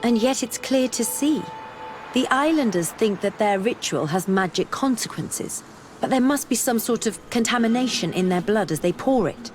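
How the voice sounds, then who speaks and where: An actress playing an earnest, scholarly young woman researcher in a fantasy video game, speaking in measured, formal phrases. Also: on the high side, lively intonation, a little mellow, faint music behind, natural voice. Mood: intent, curious. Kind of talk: video game dialogue acting.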